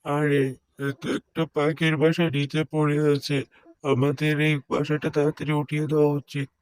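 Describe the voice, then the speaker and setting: A man's voice: fairly high pitch, flat delivery, normal speed, dry recording, close to an everyday voice. A man voicing a kindly, devout old woodcutter in a cartoon story, plain-spoken and given to exclaiming. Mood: concerned. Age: older. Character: frail, pious, kindly old male woodcutter.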